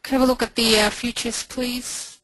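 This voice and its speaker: A woman's voice: on the high side, little pitch movement, normal speed, fairly bright tone, low-quality recording. A woman attending a trading session, asking short polite questions from across the room. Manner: polite, brief requests.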